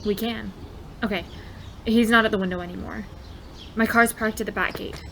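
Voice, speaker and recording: A woman's voice: medium pitch, steady tone, moderate pace, gravelly, fairly bright tone, a little hiss or hum. An actress playing a bubbly, persuasive, mischievous young woman in an audio drama. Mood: conspiratorial, excited. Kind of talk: naturalistic audio drama acting.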